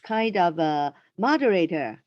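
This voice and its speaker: A woman teaching an online English class, talking in a halting way with many restarts. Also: fairly low pitch, expressive, a little mellow, slight background noise. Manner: halting, restarts often, says "I mean" a lot. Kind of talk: casual classroom talk. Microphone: video call. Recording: dull dry video call audio.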